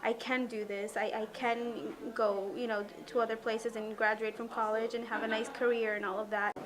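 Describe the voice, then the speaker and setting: A young woman with a fairly high voice speaks in a level, reflective way, her tone staying even and unvaried. She sounds like an interviewee sharing her story. People's voices murmur clearly in the background. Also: moderate pace, a crowd in the background, rough recording. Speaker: youth jobs program participant.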